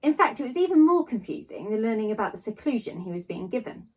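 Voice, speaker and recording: High, lively, slightly husky woman storyteller. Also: fast, rough recording. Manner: expressive, storytelling emphasis. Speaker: storybook reader. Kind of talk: scripted narration of a children's novel in a child's first person.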